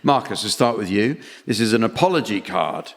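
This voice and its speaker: A man chairing a comedy radio panel game, reading out verses and jokes in a dry, deadpan way. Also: lowish voice, fairly quick. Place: theatre stage before an audience. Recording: noisy hall with long reverb.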